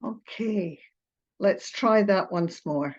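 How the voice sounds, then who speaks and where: Older woman, scottish accent with australian tones, unhurried, hesitant and apologetic, muffled video call. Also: mid-range voice. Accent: Scottish, partly Australian. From Scotland. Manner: hesitant, apologetic, with filler sounds and little laughs. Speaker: therapist presenting a webinar. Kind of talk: online presentation.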